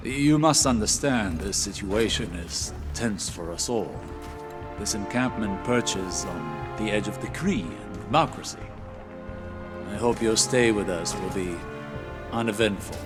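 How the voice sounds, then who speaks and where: A man playing a dignified, guarded statesman in a video game cutscene, with a measured and formal delivery. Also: fairly low pitch, lively intonation. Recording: voice over game music.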